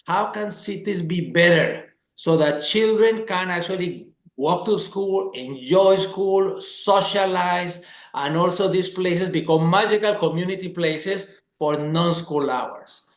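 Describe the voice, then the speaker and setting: A man's voice: sits high, takes it slowly, roomy sound. A man from Colombia hosting a webinar over a video call, talking with enthusiasm and stressing points by repeating words. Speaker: webinar host.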